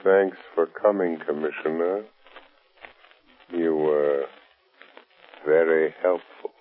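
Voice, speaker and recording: A man acting in a 1930s radio drama as a calm, menacing unseen avenger who mocks criminals with slow, deliberate menace. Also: a bass voice. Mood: dry mockery. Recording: tinny 1930s radio recording.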